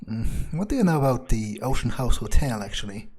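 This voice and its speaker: A man's voice: mid-range voice, sing-song, brisk, darkish tone. A man doing in-character game playthrough commentary, thinking aloud hesitantly and swearing bluntly. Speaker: gaming video creator.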